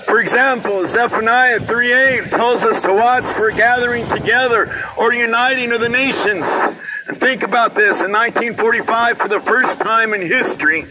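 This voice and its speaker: High-voiced older man preaching outdoors. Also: normal speed. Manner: loud, proclaiming to passersby. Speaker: street preacher. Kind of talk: open-air street preaching. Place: outdoors on a busy city street corner. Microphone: distant camera across the street. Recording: traffic noise from far off. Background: passing cars and trucks.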